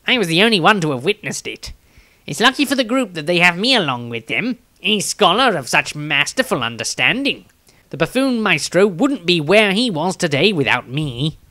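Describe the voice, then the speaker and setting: A man's voice: high voice, sing-song, normal speed, pushed and bright, no room sound, full character voice. A man voicing a conceited male scholar of magic, pompously reading from his storybook diary. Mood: smug, explaining.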